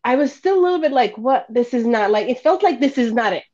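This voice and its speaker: A woman from Bosnia in her thirties, telling her story as a podcast guest. She talks in an animated way and laughs often. The audio sounds dull and lo-fi. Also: fairly high pitch, quick pace. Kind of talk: casual interview talk.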